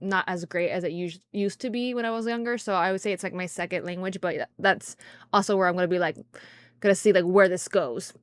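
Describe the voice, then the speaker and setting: A woman's voice: fairly low pitch, steady tone, fairly quick, clean tone, no room sound, clean studio sound. A woman hosting a music reaction video, rambling casually and often losing her words mid-thought. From grew up speaking Spanish. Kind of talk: casual chat.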